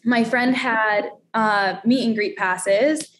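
Young woman from Florida, chatty, bubbly guest, pitched a bit high, faint hiss under her. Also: slow, fairly bright tone. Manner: chatty and bubbly, laughs often. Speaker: radio host and steel drum player. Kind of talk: casual interview chat.

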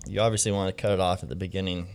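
A man's voice: sits low, level tone, racing, darkish tone. A man in casual conversation who mostly answers with short words of agreement. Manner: brief agreeing backchannels.

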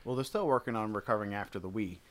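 A man in casual podcast chat about video games, animated and jokey with quick asides, from the United States. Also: fairly low pitch.

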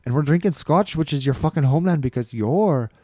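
Young man, tipsy and rambling, swears freely, mellow dark tone, dry close sound. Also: a little high, lively intonation, brisk, dry recording. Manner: rambling, swears freely, does silly voices. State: tipsy from scotch. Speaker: podcast co-host. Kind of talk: casual chat over drinks. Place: indoor room.

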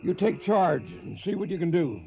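A man's voice: sits high, very animated, moderate pace, creaky, heavily acted. A man acting a kindly older veteran cattle drover, weary but resolute, in a western radio drama. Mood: weary, subdued. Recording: muffled radio broadcast, music behind.

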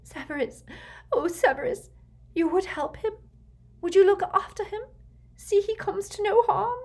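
A woman's voice: extremely high pitch, lively intonation, measured pace, voice pushed up, heavily acted. A woman voicing a desperate, tearful aristocratic mother in an audiobook, pleading and sobbing. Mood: sobbing, imploring. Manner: sobbing, beseeching. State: crying.

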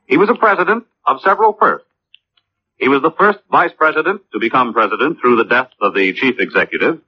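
A man reading scripted narration as a vintage radio announcer, in a declamatory manner. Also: fairly high pitch. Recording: muffled old radio broadcast.

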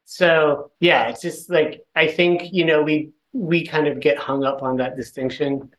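A man's voice: on the high side, fairly even intonation, a little slow, fairly bright tone, poor audio. A man taking part in a casual group discussion, thinking aloud in a tentative, conversational way. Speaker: discussion participant.

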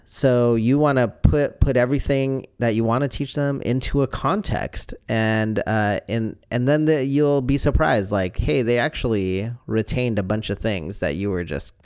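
Smooth-voiced reflective man, dry sound. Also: medium pitch, moderate pace, few pauses, darkish tone, no room sound. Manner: reflective, advice-giving. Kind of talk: casual conversation. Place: home.

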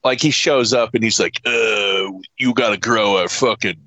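A man's voice: fairly low pitch, normal speed, sharp tone, no echo, highs cut off. A man co-hosting a podcast in casual chat, swearing freely and emphatically in exasperation as he rants through a movie review. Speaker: podcast co-host.

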